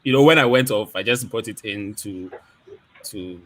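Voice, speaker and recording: Deep-voiced male creator, easygoing, stop-start talk, USB desk mic. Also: poor audio. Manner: relaxed, halting, many restarts. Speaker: photographer and video creator. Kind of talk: casual live video call chat. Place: home studio with ring light. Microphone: USB desk microphone on video call.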